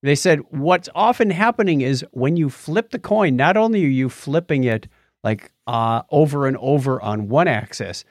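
A man's voice: medium pitch, expressive, moderate pace, no room sound, studio quality. A man co-hosting a podcast, chatting casually and commenting on news with wry, joking asides. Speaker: podcast co-host.

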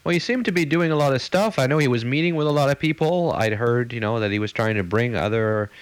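A man's voice: medium pitch, lively intonation, fast. A male podcast host in casual conversation, curious and asking questions.

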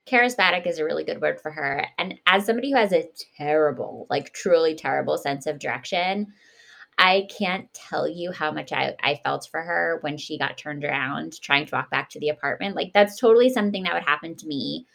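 A woman hosts a casual book discussion. Her voice sits a little low and carries a clear creak. She talks in a chatty, expressive way and leans on her words for emphasis. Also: a little fast. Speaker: podcast host.

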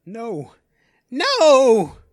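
A man's voice: extremely high pitch, wildly swooping pitch, drawn-out words, mellow, dry recording, clean studio sound, voice pushed up, full character voice. A man voicing a frightened, pleading male hostage in an audio story, speaking in a panic. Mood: panicked.

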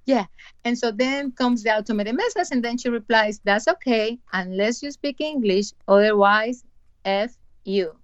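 A woman's voice: a little high, normal speed, no room sound, dull audio. Colombian-accented woman telling a story. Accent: Colombian Spanish. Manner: storytelling with many restarts and hesitations. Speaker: podcast host, dental practice owner. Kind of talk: casual chat.

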